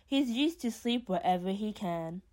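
A woman's voice: medium pitch, lively intonation, normal speed, a little mellow, natural voice. A woman playing a blunt, argumentative but grudgingly caring character in an audio drama.

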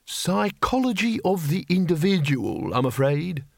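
A man playing a calm, measured advisor. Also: sits high, gravelly, no room sound, voice pushed up, heavily acted. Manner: slow, measured, dry.

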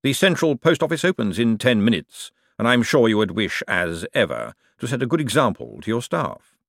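A man reading aloud and voicing a cold, calculating ruler who speaks slowly with icy politeness. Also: mid-range voice, big pitch swings, gravelly, a bit bright, dry recording, studio quality, voice pushed up, exaggerated voice. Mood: coolly polite. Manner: slow, measured, icily polite. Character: cold, silkily menacing male ruler, patient tyrant.

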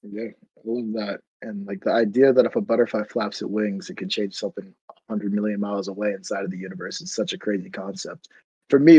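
A man's voice: fairly low pitch, dark tone, breathy edge, no room sound, rough recording. A man in his twenties hosting a casual podcast, talking loosely with many fillers. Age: 20s. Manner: rambling, filler-heavy. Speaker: podcast host. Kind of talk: casual chat.

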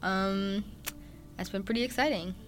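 A young man, a guest in a casual chat, talking openly and at length with lots of fillers and animated retellings. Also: high voice, a little slow, faint music behind. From Newfoundland, Canada. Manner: chatty and open, many "like" fillers, animated retellings.

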